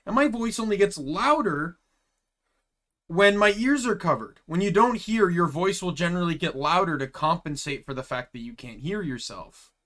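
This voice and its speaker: Young male streamer, loud and bright, casual rambling chat. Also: high-pitched, lively intonation, normal speed. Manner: chatty, rambling asides. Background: game music.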